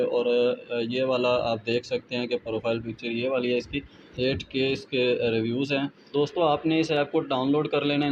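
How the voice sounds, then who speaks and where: A man with a Pakistani accent giving a casual step-by-step app tutorial as a YouTuber, addressing viewers as friends. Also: mid-range voice. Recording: muffled lo-fi with clear background noise.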